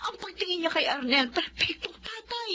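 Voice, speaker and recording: A woman's voice: very high-pitched, animated, moderate pace, pushed and bright, a little hiss or hum, full character voice. A woman acting an anguished, protective mother in a Filipino radio drama, pleading and emphatic, with a Filipino accent. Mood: anguished, insistent. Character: anguished, protective, self-sacrificing mother, separated from husband.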